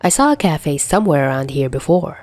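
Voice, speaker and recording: A wry middle-aged father figure in an audiobook, acted by a woman. He sounds exasperated yet fond, with a low, mellow voice and dry teasing delivery. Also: very animated, fairly quick, no echo. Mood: gently caring.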